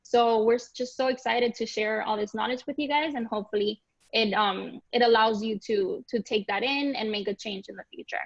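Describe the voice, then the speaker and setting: A woman's voice: fairly high pitch, fairly even intonation, brisk, no echo. Enthusiastic young woman, dull dry audio. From Cuba. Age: young adult. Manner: enthusiastic, friendly. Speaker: nonprofit staff member. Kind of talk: webinar presentation. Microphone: video call.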